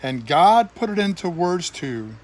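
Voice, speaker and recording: Older man, slow and expressive storyteller. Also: high voice. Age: older, grandfather. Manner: deliberate phrasing with emphatic pauses. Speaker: grandfather reading a children's Bible storybook aloud. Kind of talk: expressive read-aloud storytelling for young children.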